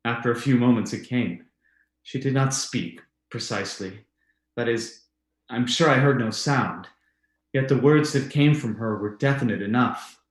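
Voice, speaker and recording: An actor playing an earnest, haunted bereaved husband, with halting, intense, formal delivery in old-time radio drama style. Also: male voice, mid-range voice, close to an everyday voice. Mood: awed, intense. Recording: roomy, echoing sound.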